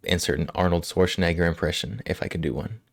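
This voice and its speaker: A male livestream host chatting casually with viewers, slightly hoarse from a cold, relaxed and quick to laugh. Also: low-pitched, no room sound, clean studio sound. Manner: relaxed, laughs often, answers chat. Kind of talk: casual chat with live chat. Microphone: studio microphone.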